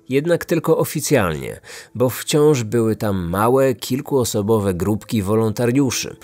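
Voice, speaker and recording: A man narrating a scripted true-crime podcast in a serious, measured storytelling manner. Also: lowish voice, darkish tone.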